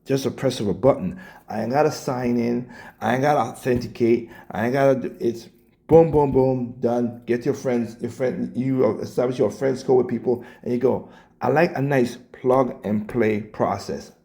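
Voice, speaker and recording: A man with a scratchy, hoarse voice, ranting casually in reaction to clips, blunt and swearing, stumbling and repeating his words. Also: medium pitch, steady tone, moderate pace, warm tone. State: hoarse, coughing. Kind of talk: casual rant.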